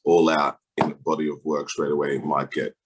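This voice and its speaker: A young man from Austria, a band's lead singer, chatting in an interview in a relaxed, laid-back way. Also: rumbling low, a little fast, slightly rough. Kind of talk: casual interview chat.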